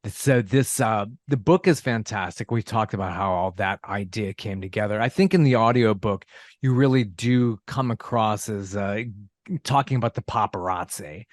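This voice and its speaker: Podcast host, man, lowish voice, friendly questioner, dry close sound. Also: moderate pace, dry recording. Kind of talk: casual interview chat.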